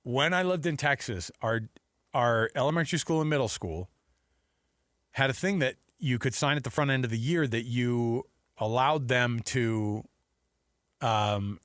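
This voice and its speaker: A man's voice: medium pitch, unhurried, dry recording, clean studio sound. A middle-aged man hosting sports radio, chatting casually and telling stories in a jokey way. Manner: jokey, animated storytelling. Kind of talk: casual chat. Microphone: close studio microphone.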